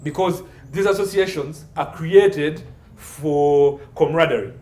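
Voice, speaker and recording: Male expert guest, slightly high voice, emphatic and argumentative with rhetorical questions, echoey room. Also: a little slow, darkish tone. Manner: emphatic, asks rhetorical questions, repeats phrases for stress. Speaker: legal consultant, interview guest. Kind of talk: explanatory interview discussion. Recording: echoey room with faint background noise.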